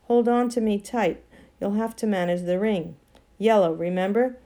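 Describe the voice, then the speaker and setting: A woman's voice: medium pitch, normal speed, dark tone, lightly acted. A woman voicing a brave, practical young boy in an audiobook, plain-spoken and urgent. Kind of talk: audiobook character acting.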